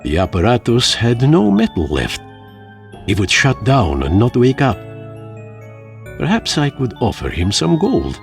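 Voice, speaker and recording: An actor playing a weary, pompous older adventurer, narrating his tale in a dramatic, florid, deliberate way for a game cutscene. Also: medium pitch, huge pitch swings, creaky, faint music behind, exaggerated voice. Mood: somber, reflective.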